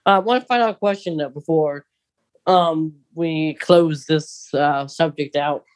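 A podcast co-host in a casual fan discussion, speaking in an animated, exclaiming way. The voice sits in a middle pitch and is recorded dry, with hardly any room sound. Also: slow pace, no echo.

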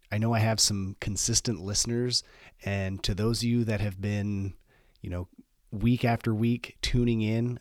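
A man with a cold hosting a solo podcast, his voice a little squeaky and stuffed up, talking in a relaxed, rambling way with many fillers. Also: no echo, clean studio sound. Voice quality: slightly squeaky voice. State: congested from a cold. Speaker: podcast host.

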